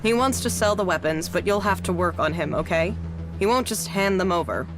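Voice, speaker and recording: A woman's voice: fairly low pitch, lively intonation, fairly quick, natural voice. An actress playing a wary, tough, streetwise female arms dealer in a video game, with a sardonic, bargaining manner. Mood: dry, businesslike. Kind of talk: video game dialogue acting. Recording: music under the voice.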